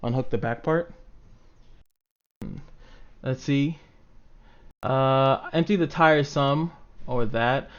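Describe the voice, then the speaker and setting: A man's voice: mid-range voice, slow pace, pauses often, clear, resonant tone. A man rambling casually to livestream viewers in an informal, sweary way, acting out reactions as he goes. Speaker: livestream host talking to chat viewers. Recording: dull, lo-fi microphone sound.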